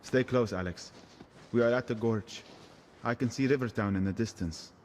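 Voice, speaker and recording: An actor playing a wry, quietly poetic shepherd who guides a traveller in an audio adventure game, measured and understated. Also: male voice, medium pitch, big-room sound, a little hiss or hum, natural voice. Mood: watchful. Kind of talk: video game voice acting. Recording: faint music behind the voice.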